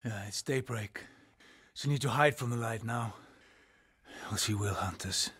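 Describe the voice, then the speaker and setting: A man with an Icelandic accent playing a grave, weary Norse villager in a video game, with a husky, breathy voice. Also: mid-range voice, normal speed, fairly bright tone. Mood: grave, wary. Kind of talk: dramatic video game voice acting.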